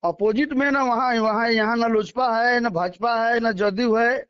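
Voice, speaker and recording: A dull, lo-fi phone recording of a man with a distinctly high voice and a Bihari accent. He talks in an emphatic, colloquial way, repeating himself as he makes his political points. The sound is close and dry. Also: fairly even intonation, normal speed, clear, resonant tone, no echo. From Bihar, India. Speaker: local politician being interviewed. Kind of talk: spontaneous political phone interview. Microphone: phone call.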